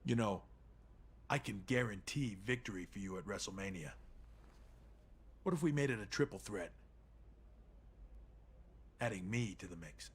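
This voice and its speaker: A man playing a confident, scheming veteran pro wrestler in a video game cutscene, with a gravelly voice and a calculating, self-assured manner. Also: on the low side, moderate pace, long pauses. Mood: calculating, smug.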